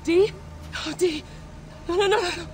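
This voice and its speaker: High-voiced, stubborn researcher. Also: female voice, moderate pace, a little hiss or hum. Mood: distraught, defiant. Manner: agitated, blunt. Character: defiant young female researcher, alarmed and stubborn. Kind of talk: naturalistic modern video game acting.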